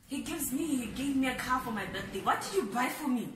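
A woman's voice: high voice, expressive, fairly quick. A woman playing a defiant wife who scolds and nags her husband with questions. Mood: scolding. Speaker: wife in a skit. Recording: echoey room, far from mic, loud noise.

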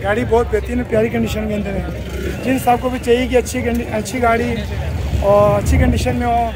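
A man's voice: very high voice, steady tone, a little fast. A man with a Pakistani accent giving an enthusiastic, repetitive vlog commentary and interviewing sellers. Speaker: vlogger and interviewer. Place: busy outdoor used-vehicle market. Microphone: handheld camera or phone. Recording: noisy open-air market with crowd.

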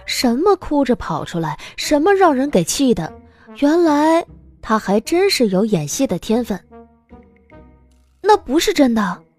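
A woman giving expressive scripted narration of a romance novel as an audiobook narrator. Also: sits high, normal speed, soft background music.

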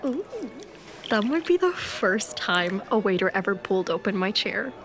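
A woman playing an excitable, wisecracking sister in a romantic comedy audio drama, breezy and exclamatory. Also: medium pitch, normal speed. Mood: wry, breezy. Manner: breezy, exclamatory, comic asides. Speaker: actress in a podcast drama.

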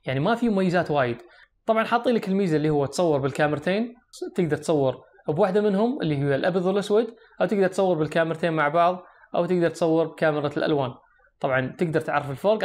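A young man with a Kuwaiti Arabic accent, reviewing a phone and explaining its features straight to viewers, seated indoors close to the camera. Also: high voice. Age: young adult. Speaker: tech reviewer. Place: small indoor room, seated at a desk.

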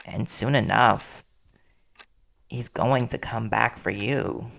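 A man playing a worried, devoted young reporter boyfriend in an intimate audio roleplay, speaking earnestly and soothingly with hesitant pauses. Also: medium pitch, vocal fry, a bit bright, a little hiss or hum, heavily acted. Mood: earnest persuasion.

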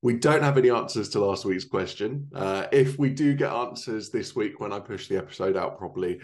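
A man's voice: on the low side, brisk, a little mellow. A man in his thirties presenting a podcast in a chatty way from a living room at home. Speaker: podcast host.

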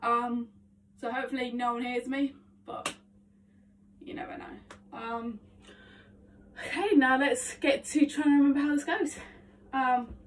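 Young woman, congested and nasal, chatty self-talk, a bit high, filmed from across a bedroom with faint noise. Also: fairly even intonation, unhurried, long pauses. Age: young adult. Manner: chatty, self-talking, lots of ums. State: congested, nasal from hay fever. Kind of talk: casual vocal warm-up vlog. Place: small attic bedroom at home. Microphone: phone camera across the room. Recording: faint room noise, distant from phone.

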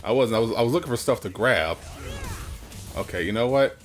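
A man's voice: mid-range voice, brisk. A man hosting a let's play in casual banter, repeating his phrases and getting playfully defensive. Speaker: let's play host, playing the game. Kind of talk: casual gaming commentary, banter with co-host. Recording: music behind the voice. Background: video game music.

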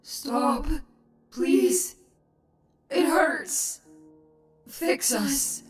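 Woman as a high, echoing, suffering gem creature. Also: soft background music. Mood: pained, pleading. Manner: halting, pleading. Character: frightened, suffering fused gem creature, begging. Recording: roomy echo.

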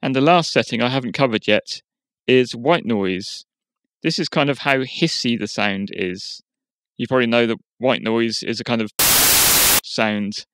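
A man narrating a software tutorial in an informal, explanatory way. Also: mid-range voice, measured pace, low-quality recording. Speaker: tutorial presenter. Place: voice-over for a screen recording.

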